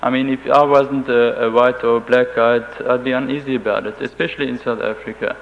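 German-accented guy, flat monotone, halting with lots of restarts, muffled old tape. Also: medium pitch, gravelly. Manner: halting, careful, with frequent restarts. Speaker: interviewee. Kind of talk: recorded interview answers. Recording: dull lo-fi tape with faint hiss.

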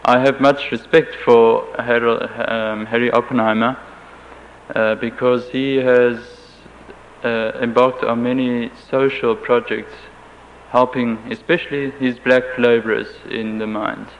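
A man with a German accent, German being his first language, answering interview questions in a halting, careful way with frequent restarts, on a dull lo-fi tape with faint hiss. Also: medium pitch, little pitch movement.

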